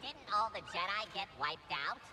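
A chatty robot foot soldier from a video game, bright and nasal. The delivery swings up and down, naive and boastful, through robotic processing. Faint ambience sits behind. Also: male voice, high-pitched, sing-song, normal speed, a little hiss or hum, exaggerated voice. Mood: puzzled, chatty. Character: cocky, dim, chatty battle droid foot soldier.

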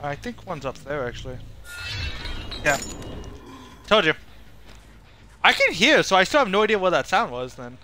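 The guy on a late stream of a horror game, fairly high voice, grumbling to himself over clearly audible game sounds. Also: sing-song, normal speed, long pauses, sharp tone. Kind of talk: casual live gameplay commentary. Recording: clear game noise behind voice. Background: horror game sound effects and ambience.

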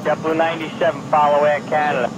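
A man working as a ground controller, giving brisk, exasperated, wisecracking instructions to pilots over the radio. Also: fairly high pitch, flat delivery. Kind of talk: air traffic control radio. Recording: noisy radio transmission.

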